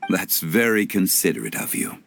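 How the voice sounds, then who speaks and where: A composed, refined consultant. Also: male voice, sits low, big pitch swings, gravelly, full character voice. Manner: measured, formal and composed. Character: refined male funeral consultant, calm and courteous. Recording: game cutscene with soft music behind.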